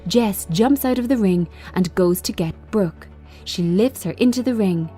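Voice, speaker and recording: A woman reading screenplay directions as scripted narration, in measured, short phrases. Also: mid-range voice, warm tone, faint music behind. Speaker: screenplay reader.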